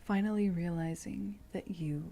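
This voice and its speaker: A woman streamer speaking softly in a playful ASMR livestream style, often dropping into a whisper. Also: fairly low pitch, steady tone, moderate pace, vocal fry, a little hiss or hum. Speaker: streamer reading tarot.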